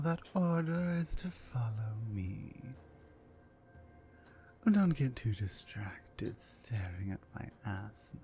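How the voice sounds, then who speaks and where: A woman voicing a dominant, mean orc warrior woman in an ASMR roleplay, slow, commanding and condescending, with a low breathy voice that often nears a whisper. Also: dark tone, heavily acted. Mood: commanding, smug.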